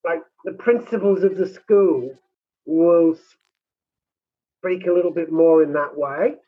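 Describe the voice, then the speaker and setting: Man, minister, squeaky and husky, halting delivery, phone-line sound. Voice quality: high, squeaky voice. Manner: halting, many restarts and repeated words. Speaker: rural minister. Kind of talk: conversational interview.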